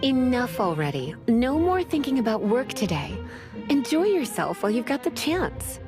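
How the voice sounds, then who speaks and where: A woman voicing a relaxed, worldly librarian who talks in an unhurried, soothing way. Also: a little high, music in the background. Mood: easygoing. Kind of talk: video game voice acting.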